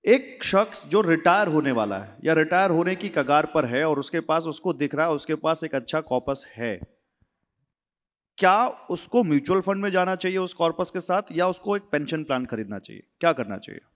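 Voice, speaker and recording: Brief: male host asking questions, higher-pitched, room with long reverb. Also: expressive, moderate pace. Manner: poses questions, brief affirmations. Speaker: interviewer host. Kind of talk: interview questions.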